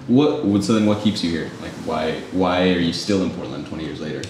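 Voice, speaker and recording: Fast, deep-voiced chatty interviewer. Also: male voice, a little mellow, slight background noise. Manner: chatty, rambling, fillers like "like". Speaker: coffee shop host. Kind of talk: casual interview. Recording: echoey room, mic at a distance.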